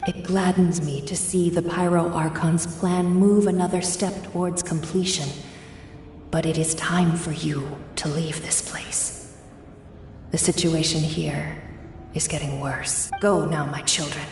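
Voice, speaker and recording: A woman's voice: low-pitched, steady tone, a little slow, a little mellow, faint music behind. A woman voicing an ancient, motherly guardian spirit in a video game, speaking with solemn, formal tenderness. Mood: grave. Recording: long echoing reverb, faint noise.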